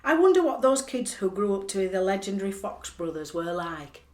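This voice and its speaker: A woman's voice: medium pitch, sing-song, a little fast, a little mellow, close to an everyday voice. A woman performing as a reflective, musing narrator in a radio play, reading scripted narration. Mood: wistful. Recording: roomy echo.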